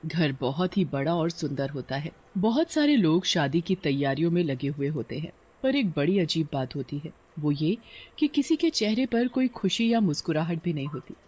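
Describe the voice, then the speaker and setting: A man's voice: a little high, big pitch swings, normal speed, darkish tone, husky. A man narrating a scripted horror cartoon story as storyteller.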